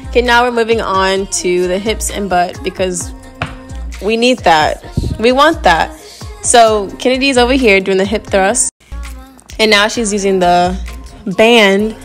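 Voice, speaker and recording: A woman's voice: mid-range voice, a little slow. A young adult woman vlogger casually narrating a workout. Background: faint music.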